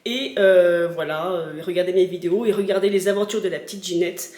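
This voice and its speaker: A woman's voice: fairly low pitch, steady tone, brisk, a little mellow, echoey room. A woman in her thirties with a cold, chatting and rambling through a casual unboxing in her home kitchen. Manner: chatty, rambling, many fillers. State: stuffy from a cold. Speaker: vlogger.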